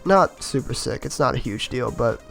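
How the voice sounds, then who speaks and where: A man with a slightly raspy voice from being a bit sick, giving easygoing, rambling voice-over commentary on his own game run and laughing at his own mistakes. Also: medium pitch, lively intonation, normal speed, a bit bright, soft background music. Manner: easygoing, rambling, self-mocking with chuckles. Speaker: gameplay commentator.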